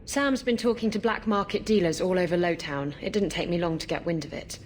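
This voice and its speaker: A woman's voice: lowish voice, a little fast, a little hiss or hum, close to an everyday voice. A woman playing a brash, evasive female pirate rogue in a video game, with a glib, wheedling, flippant manner.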